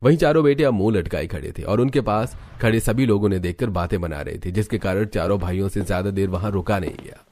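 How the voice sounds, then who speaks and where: A man's voice: fairly low pitch, fast, few pauses, darkish tone, a little hiss or hum. A man narrating a scripted cartoon family story as a storyteller.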